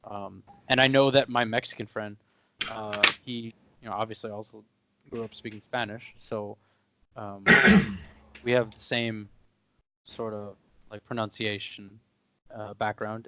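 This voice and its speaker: Young man, low voice, casual podcast co-host, chatty, filler-heavy explanations. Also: sharp tone, slight background noise. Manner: explains step by step with many fillers. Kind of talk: casual conversation.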